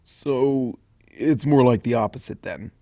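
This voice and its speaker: A man's voice: mid-range voice, measured pace, dark tone, no echo, natural voice. A man voicing a laid-back, flippant young male baby dragon who drawls and teases. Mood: teasing.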